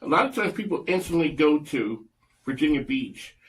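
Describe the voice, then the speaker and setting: A man hosts a radio talk show in a loose, chatty way and laughs often. His voice is lively, with wide swings in pitch, while his pace stays ordinary. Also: moderate pace, a little hiss or hum. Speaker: host.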